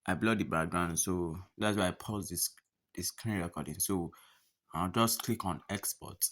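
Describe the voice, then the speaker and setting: Low-pitched, bright-voiced man giving a tutorial. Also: normal speed. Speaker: tutorial host. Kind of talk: step-by-step app tutorial narration.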